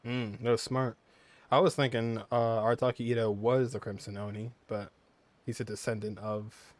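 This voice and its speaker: A man's voice: medium pitch, little pitch movement, normal speed, dry recording. A man giving casual gameplay commentary as a host, reacting aloud in an informal way. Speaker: gaming video host.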